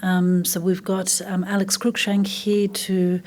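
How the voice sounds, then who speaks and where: A woman's voice: medium pitch, little pitch movement, slow pace, a little mellow. A woman chairing a seminar session introduces the next speaker hesitantly. She is losing her voice. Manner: hesitant, with fillers.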